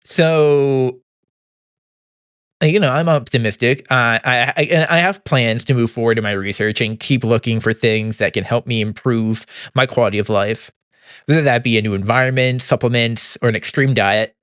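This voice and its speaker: A young man hosting a podcast, giving a casual monthly recap in an upbeat, halting way with many restarts and a throat that sometimes sounds slightly gravelly. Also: mid-range voice, fairly even intonation, a bit bright, no echo, clean studio sound. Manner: upbeat, laughs easily. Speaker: podcast host.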